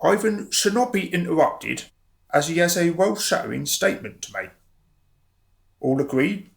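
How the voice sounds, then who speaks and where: An actor playing a loyal, pedantic committee stickler with a creaky voice and formal manner in a radio comedy drama. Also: male voice, fairly high pitch, expressive, normal speed. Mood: officious.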